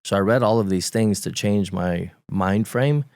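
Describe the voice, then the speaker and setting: A Romanian-born man in his forties with a Romanian accent, English his second language, telling his story as a guest on a talk show, halting and repeating words as he recalls. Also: fairly low pitch, darkish tone, no echo, studio quality. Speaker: podcast guest. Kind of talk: candid conversational storytelling.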